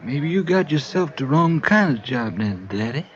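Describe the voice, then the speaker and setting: A man's voice: mid-range voice, very animated, moderate pace, a little hiss or hum, close to an everyday voice. Man playing a folksy old-timer, muffled. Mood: gently warning. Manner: folksy, cautioning. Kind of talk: 1950s radio drama acting. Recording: dull old radio recording.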